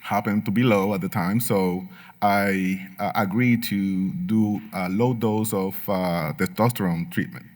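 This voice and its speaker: A man's voice: fairly low pitch, fairly even intonation, measured pace, a little mellow. A middle-aged man from Puerto Rico with a Puerto Rican Spanish accent, giving an earnest talk at a medical conference with frequent fillers and light humor. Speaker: patient speaker at a medical symposium.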